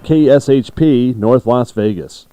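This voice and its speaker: A man's voice: medium pitch, unhurried, background noise. A man reading promotional station announcements for radio.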